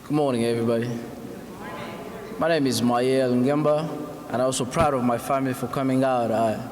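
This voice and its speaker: A man's voice: medium pitch, steady tone, brisk, crowd noise. A man from the Democratic Republic of Congo, a refugee community advocate, giving an eager, grateful statement. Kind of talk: formal public statement. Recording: echoey hall with faint noise.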